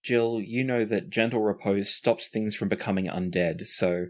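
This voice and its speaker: Low-voiced man running a tabletop game. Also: normal speed. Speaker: game master. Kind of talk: narrating a tabletop fantasy game.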